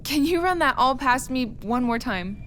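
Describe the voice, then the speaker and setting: High, animated, concerned young woman acted. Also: moderate pace, slight background noise. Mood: relieved, apologetic. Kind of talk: audio drama acting.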